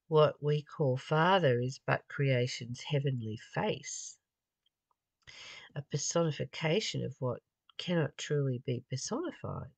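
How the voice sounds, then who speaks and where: A person reading a spiritual text aloud and adding informal, reflective commentary, pausing mid-phrase in a halting way. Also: mid-range voice, vocal fry, dry recording. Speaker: spiritual study reader and commentator.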